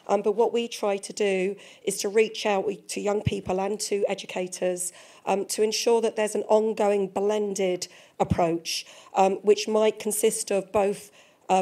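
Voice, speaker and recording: A middle-aged woman with a slightly croaky, losing voice talks on a seminar panel. Her tone is mellow and dark, measured and steady, picked up by a handheld microphone in a museum event room. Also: mid-range voice. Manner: measured, speaks through a handheld mic. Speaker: panelist, head of talent. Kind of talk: panel discussion remarks. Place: museum event space with a live audience.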